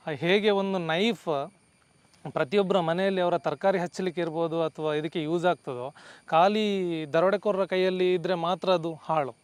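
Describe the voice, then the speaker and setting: A man's voice: high voice, clear, resonant tone, dry recording. A man giving explanatory answers as an executive guest, often mixing in English words. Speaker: company CEO, guest.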